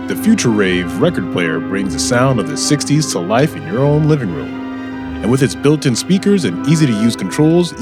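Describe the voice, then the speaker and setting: A man playing a showy sixties radio narrator and announcer. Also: medium pitch. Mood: upbeat, selling. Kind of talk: old-time radio narration.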